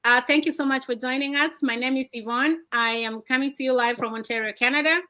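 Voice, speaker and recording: Woman host from Kenya, upbeat livestream presenter, bright and fairly high. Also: brisk. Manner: upbeat, welcoming, hands over between guests. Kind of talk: motivational livestream talk. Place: home, indoors at night.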